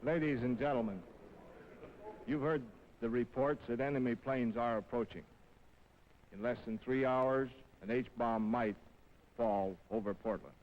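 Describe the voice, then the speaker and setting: A man speaking as a city mayor, formally and deliberately addressing officials. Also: medium pitch. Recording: dull old film sound in a reverberant room.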